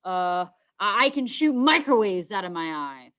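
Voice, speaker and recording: A woman's voice: medium pitch, expressive, a little slow, fairly bright tone. A woman voicing a protective schoolboy in a children's storybook, insistent, exasperated and prone to bluffing. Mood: urgent bluffing. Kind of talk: children's storybook read-aloud.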